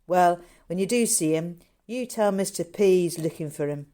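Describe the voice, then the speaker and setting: A woman voicing a gruff young male zookeeper in a story reading, blunt and colloquial, scolding but kindly. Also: fairly low pitch, moderate pace, warm tone, lightly acted. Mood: gruff grumbling. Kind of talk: character dialogue in a novel reading.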